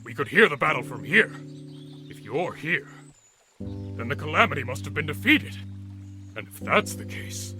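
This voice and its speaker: A man playing a burly older villager and devoted father in an audio drama, speaking in a halting, emotional, remorseful way. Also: a little high, big pitch swings, over music. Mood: urgent.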